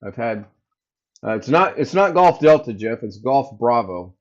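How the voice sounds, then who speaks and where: Man, booming voice, livestream host, rambling casual chat, somewhat dull audio. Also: sing-song, measured pace. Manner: rambling, thinks aloud while fixing tech. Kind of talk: casual live chat with viewers.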